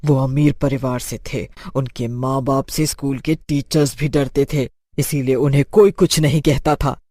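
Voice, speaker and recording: A man's voice: mid-range voice, fairly even intonation, normal speed, mellow, dry recording, studio quality, close to an everyday voice. An actor playing the menacing, bitter ghost of a wronged schoolgirl in a cartoon horror story. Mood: bitter, mournful.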